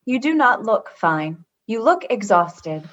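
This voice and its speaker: A woman's voice: on the high side, sing-song, slow pace, fairly bright tone, heavily acted. Woman playing a formal, literal-minded android, slightly muffled. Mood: concerned. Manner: formal, precise, avoids contractions. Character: earnest, literal-minded, kindly female android junior lieutenant. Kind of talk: comedy radio play acting.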